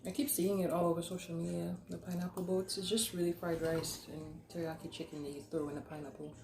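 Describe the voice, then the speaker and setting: A young woman with a slightly low voice talks in an easygoing, casual storytime style, like a vlog host chatting over food. The room adds a clear echo, and there is some background noise. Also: flat delivery, fast. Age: young adult. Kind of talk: casual chat, storytime while eating.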